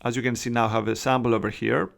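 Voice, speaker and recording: A man with a Greek accent talks through a software tutorial in slightly broken English. His mid-pitched voice has a gravelly edge. He speaks chattily, with frequent "uh" and "you know" fillers, and keyboard typing can be heard behind him. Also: little pitch movement, fast. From Greece. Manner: chatty, many "uh" and "you know" fillers, non-native phrasing. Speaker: Photoshop tutorial host. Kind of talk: casual screen-recorded tutorial.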